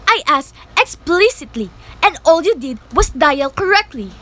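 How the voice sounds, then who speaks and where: Young woman acting anxious, demanding invalid, very high-pitched. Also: sing-song, measured pace, sharp tone, full character voice. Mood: exasperated, demanding. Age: teenager. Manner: agitated, insistent, pleading. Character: anxious, demanding, sickly woman alone at home. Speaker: student actor. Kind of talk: amateur radio play acting.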